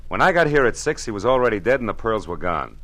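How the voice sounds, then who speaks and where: A man's voice: fairly low pitch, big pitch swings, fast, fairly bright tone, no echo, exaggerated voice, poor audio. An actor playing a tough, wisecracking private eye who narrates his own case in first person, in old-time radio drama style. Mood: pressing. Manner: hard-boiled, wry first-person narration. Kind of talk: 1940s radio drama acting.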